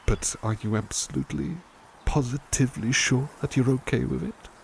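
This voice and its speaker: A man voicing a doting butler in a soft-spoken ASMR roleplay, talking gently and soothingly with hesitant restarts. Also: mid-range voice, big pitch swings, moderate pace, gravelly, slight background noise.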